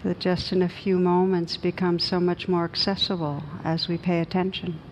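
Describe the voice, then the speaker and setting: A woman meditation teacher leading a guided meditation in a gentle, soothing, unhurried manner with long pauses. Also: little pitch movement. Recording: noisy recording with low rumble.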